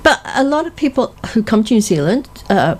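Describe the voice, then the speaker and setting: An older Scottish woman hosting a community radio interview, chatty and quick to laugh as she asks her guests questions. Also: a little high, big pitch swings, darkish tone. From Scotland. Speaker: radio show host.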